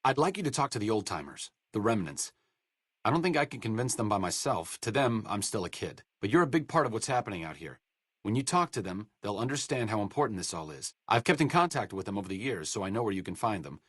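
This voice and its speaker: Casting: a wry, educated young game scientist, low voice, lively melody, dry studio sound. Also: male voice, quick pace, breathy edge, no echo, heavily acted. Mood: earnest. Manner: dry sardonic wit, educated phrasing. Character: wry, learned male scientist companion, dry wit. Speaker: game companion voice lines. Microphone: close studio mic.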